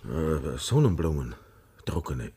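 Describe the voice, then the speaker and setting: A man's voice: very deep voice, very animated, slow pace, mellow, breathy edge, heavily acted. An actor playing a nervous, placating country father in a radio drama, hesitant and. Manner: hesitant, nervously chuckling, appeasing.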